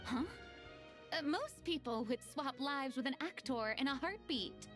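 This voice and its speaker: A woman voicing a polite, worldly young lady in an anime game, with an expressive delivery. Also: sits high, measured pace. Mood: polite, surprised. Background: music.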